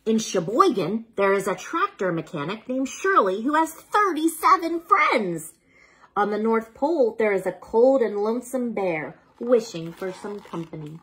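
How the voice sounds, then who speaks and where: A woman reading a children's bedtime story aloud in an unhurried, expressive way, as if speaking to a child, with a slightly husky voice. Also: on the high side, low-quality recording.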